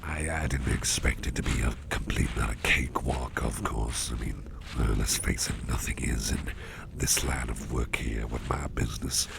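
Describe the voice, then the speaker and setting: A man playing a mischievous businessman boss in an intimate ASMR roleplay, murmuring in a halting, gravelly half-whisper. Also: very deep voice, noisy background, full character voice. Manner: slow, halting, repeating phrases, softly chuckling. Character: mischievous, toothy businessman boss, affectionate and covert.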